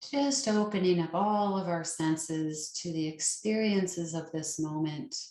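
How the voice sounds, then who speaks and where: A woman leading a guided meditation as a yoga nidra teacher, with a soothing, gentle and unhurried manner. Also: on the low side. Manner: soothing, unhurried, gentle instruction with long pauses. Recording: roomy, echoing sound.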